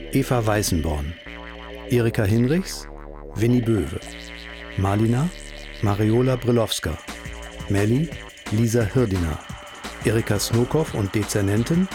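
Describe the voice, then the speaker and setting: A man announcing the closing credits of a radio drama in a scripted read. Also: lowish voice. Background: music.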